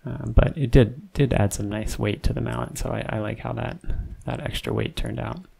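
A man narrating his woodworking build in a relaxed, unhurried way, with many ums and restarts. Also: mid-range voice, vocal fry, husky. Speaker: woodworking channel host. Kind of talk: casual voice-over narration of a build. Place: home woodshop.

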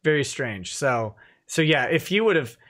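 Chatty man with a higher voice. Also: sing-song, normal speed, a bit bright. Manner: chatty, laughs often, rambling asides. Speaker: host of a live stream show. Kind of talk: casual live-stream chat, reading viewer comments aloud. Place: home office studio, indoors. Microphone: headset with boom mic.

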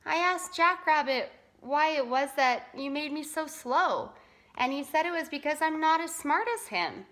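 Woman as a high-voiced, sad tortoise. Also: lively intonation, a little slow, a bit bright. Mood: tearful. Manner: tearful, humble. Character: sad, slow male tortoise, tearful and humble. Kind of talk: children's storybook read-aloud.

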